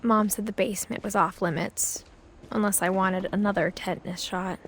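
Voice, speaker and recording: A woman's voice: mid-range voice, steady tone, normal speed, slight background noise, lightly acted. A young woman with an American accent playing a thoughtful teenage girl who narrates her family's past in a wry, understated, reflective way for a game. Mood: wistful, wry.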